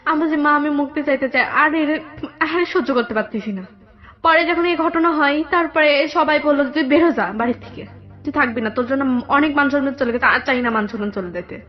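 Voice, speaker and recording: A young woman with a Bangladeshi accent telling her personal story in a radio interview, halting and repeating herself. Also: sits high. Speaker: guest telling own life story. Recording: dull lo-fi radio audio, background music.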